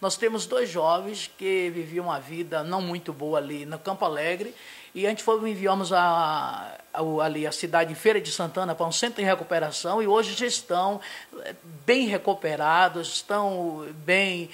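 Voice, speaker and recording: A middle-aged man, a pastor from Brazil, giving a halting local TV interview full of fillers and restarts, speaking into a handheld mic in a small studio. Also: high-pitched, a bit bright. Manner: hesitant, many fillers and restarts, tag questions. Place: small studio in front of a logo backdrop.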